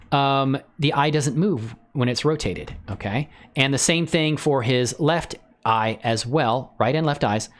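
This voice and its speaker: Male tutorial streamer, medium pitch, informal and exclamatory, explaining steps live. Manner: exclamatory, with woos and interjections. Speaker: livestream tutorial host. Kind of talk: casual live software tutorial with chat asides.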